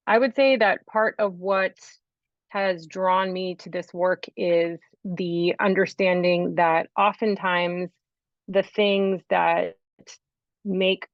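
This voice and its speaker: A woman's voice: fairly low pitch, no room sound, muffled recording. Earnest woman researcher on a call. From United States. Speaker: researcher, panel speaker. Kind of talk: panel answer.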